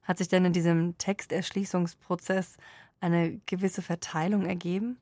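A woman with a fairly low voice conducts an interview. Her questions are measured and formally worded, and the recording is dry and close. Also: level tone, a little mellow, no echo.

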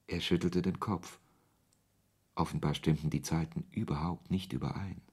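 An actor playing a wry author who narrates a radio play in the first person, in a husky voice. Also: male voice, low voice, fairly even intonation, moderate pace, a little mellow. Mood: wry narration. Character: male author narrating his absurd encounter, wry and irritated.

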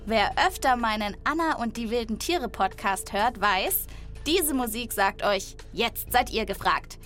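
A woman hosting a children's podcast, speaking to young listeners directly and chattily in lively scripted narration. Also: fairly high pitch, measured pace, soft background music.

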